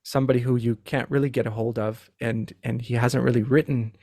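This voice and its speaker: A man speaking as a podcast guest in casual literary discussion, halting and often repeating words. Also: mid-range voice, steady tone, warm tone, no echo, studio quality.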